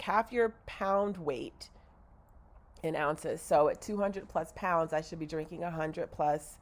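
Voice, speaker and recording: A woman's voice: on the low side, creaky, a little mellow. Nasal, congested woman rambling in a video diary. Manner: rambling, self-correcting, candid. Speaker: vlogger.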